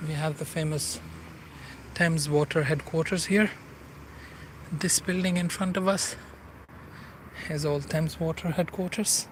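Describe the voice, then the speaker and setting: Forty-ish guy, english not his first language, rambling while walking by a river, bit high voice, faint traffic hum. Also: steady tone, unhurried. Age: 40s. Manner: rambling, searches for words. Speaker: walking vlogger. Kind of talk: casual walking commentary. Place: outdoors by a river.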